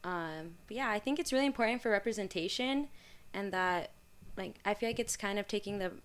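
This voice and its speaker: A young woman with Spanish as her first language talks through her answers in an easygoing, thoughtful way. Her voice is smooth and clean, set in a middle register, and she fills her speech with relaxed "like"s and "yeah"s. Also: mid-range voice, a little fast. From Utah. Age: young adult. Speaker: guest musician. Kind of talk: casual interview.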